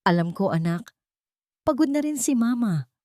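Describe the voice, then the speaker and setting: A woman's voice: fairly high pitch, expressive, normal speed, no echo, pitched above the performer's own voice, natural voice. Narrator as Filipino expectant mother, woman, tired, tender, determined, romance storybook, dry sound. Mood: tender, weary. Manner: tender, tired, soft-spoken. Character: weary, tender, determined pregnant young mother.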